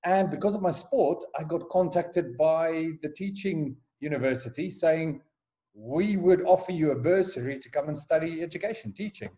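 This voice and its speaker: A South African man in his forties speaks in a mellow, slightly high voice. He talks in a relaxed interview way, stumbling and repeating words as he gathers his thoughts. He is a school headmaster telling his story. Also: normal speed. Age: 40s. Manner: hesitant, many restarts and repeated words. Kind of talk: casual podcast interview. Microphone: call recording.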